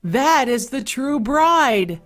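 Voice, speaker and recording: A woman's voice: sits high, animated, drawn-out words, fairly bright tone, pitched above the performer's own voice, heavily acted. A woman voicing a resolute young fairy-tale prince who speaks in formal, old-fashioned declarations. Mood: insistent.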